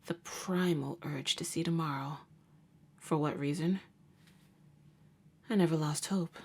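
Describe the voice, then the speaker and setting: Low-voiced, jaded vampire huntress played by a woman. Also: a little slow, husky, exaggerated voice. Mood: haunted, subdued. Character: jaded, wry female vampire hunter and nurse, secretly caring. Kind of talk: ASMR roleplay monologue.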